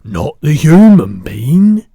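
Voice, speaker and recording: A man's voice: on the high side, very animated, takes it slowly, creaky, mellow, breathy edge, no echo, pitched above the performer's own voice, heavily acted. A man voicing a big friendly giant from a storybook, blustering, muddled and good-natured, speaking emphatically in garbled childlike grammar. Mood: booming, matter-of-fact.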